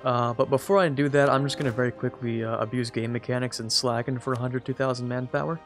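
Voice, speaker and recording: A man's voice: mid-range voice, fairly even intonation, quick pace, faint music behind. A young man hosting a strategy game let's-play, his voice slightly strained, chatting casually and talking to the computer rivals as he plays. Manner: chatty, self-deprecating, talks to the computer rivals. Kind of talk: casual gameplay commentary.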